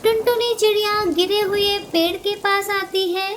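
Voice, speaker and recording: A woman's voice: very high voice, steady tone, measured pace, noisy background. A woman narrating a children's cartoon story from a script, with music playing behind her.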